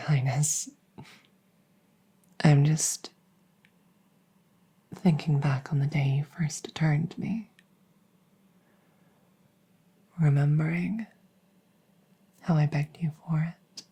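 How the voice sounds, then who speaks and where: A woman playing a devoted, centuries-old vampire maid in a gentle ASMR roleplay, speaking softly and formally in a breathy near-whisper. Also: sits low, heavily acted. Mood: wistful, devoted. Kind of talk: soft-spoken roleplay comfort ASMR.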